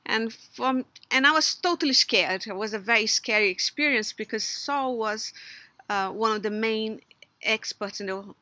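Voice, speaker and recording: Middle-aged woman, Brazilian Portuguese accent, hesitant tribute speaker, bright tone, dry close webcam sound outdoors. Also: on the high side, normal speed, no echo. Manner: hesitant, many fillers and restarts. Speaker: logician giving a recorded tribute. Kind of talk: recorded memorial tribute, partly read. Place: outdoors under a patio umbrella in a garden.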